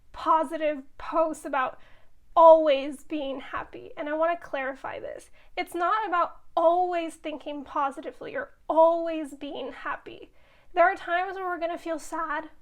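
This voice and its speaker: Hoarse woman, tarot reader, high voice, casual and reassuring to camera. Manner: reassuring, addresses viewers with frequent "okay?". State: losing her voice.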